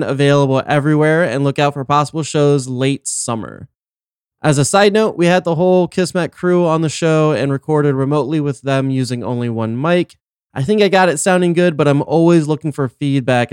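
A man hosts his own podcast in a loose, joking way and drops the odd curse. His voice sits a little high and sounds smooth and crisp. The sound is dry and close. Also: dry recording. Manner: joking, laid-back, swears freely. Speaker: podcast host. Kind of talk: casual podcast interview.

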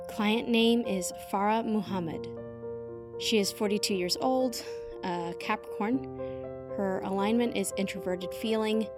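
A woman playing an earnest witch-for-hire who dictates client case files in a measured, businesslike way for an audio drama. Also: medium pitch, creaky. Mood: formal, measured. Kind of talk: audio drama monologue as recorded case notes.

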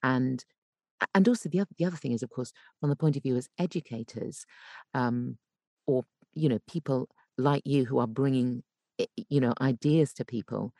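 A woman explaining conversationally as a podcast guest and voice coach, expressive and emphatic, using deliberate pauses. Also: rumbling low, no echo.

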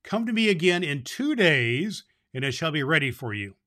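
A man voicing a kindly, gentle male toymaker in a storybook, speaking earnestly. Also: fairly high pitch, very animated, normal speed. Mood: gentle reassurance.

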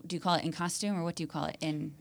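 A woman hosting a podcast in a studio, chatty and eager, with quick little replies. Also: low voice, steady tone, vocal fry. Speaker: podcast host. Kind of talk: casual interview chat.

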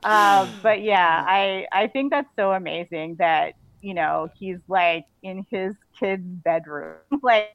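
A woman's voice: mid-range voice, slow pace, hardly any pauses, no room sound. Female author interviewee, casual and effusive, conversational delivery, dry close sound with limited bandwidth. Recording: band-limited, slightly dull audio.

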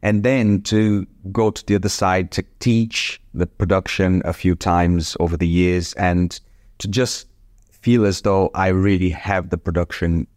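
A man, a former ballet dancer who now teaches, talking in a reflective conversation, halting often and restarting his sentences. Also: low-pitched, steady tone, a little mellow, dry recording. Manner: halting, with frequent restarts and laughs.

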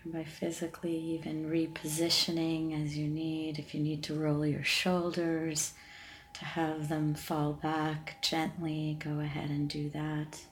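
A woman leading a guided meditation in a calm, soothing, unhurried way, leaving long pauses between gentle instructions. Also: deep voice, a little hiss or hum. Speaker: meditation podcast host and guide.

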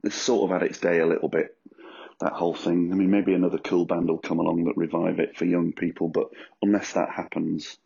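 A man with a husky, rough voice rambles through his solo podcast, crude and self-mocking. His voice sits very low with a heavy gravelly fry. The recording sounds dull and lo-fi. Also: level tone, a little fast, mellow. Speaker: podcast host.

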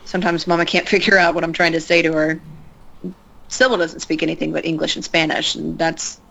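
A woman's voice: deep voice, a little fast, creaky, muffled recording, exaggerated voice. A woman playing a terse reserved scientist. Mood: guarded. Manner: calm, terse instructions. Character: reserved woman scientist guiding over radio. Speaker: scientist guiding a spacewalk. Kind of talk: audio drama acting.

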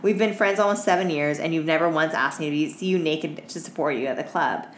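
Low-voiced tired woman podcaster in an echoey room. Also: fairly quick. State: tired after staying up all night. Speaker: podcast co-host. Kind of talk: casual podcast chat. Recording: roomy echo, clear background noise.

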